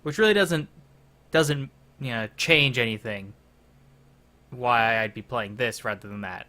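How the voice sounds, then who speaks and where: A man hosts a gaming series with a bright, forward voice at a middling pitch. He talks in a casual, rambling way, often hesitating and restarting, sounding bemused. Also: measured pace. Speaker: host of a gaming driving series. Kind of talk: casual rambling game commentary.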